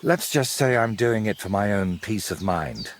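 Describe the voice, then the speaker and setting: A man playing a brooding low-voiced medieval painter. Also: animated, normal speed, a little hiss or hum, close to an everyday voice. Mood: weary, dry. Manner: wry, teasing, evasive. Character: brooding, secretive medieval painter, sardonic and obsessive. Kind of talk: dramatic dialogue in a cinematic historical game.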